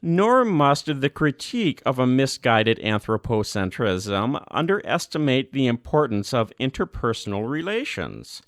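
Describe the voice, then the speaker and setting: A man reading a formal religious text as scripted narration, with measured, deliberate phrasing and emphatic pauses. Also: medium pitch, big pitch swings, no room sound, clean studio sound. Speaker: volunteer audiobook narrator.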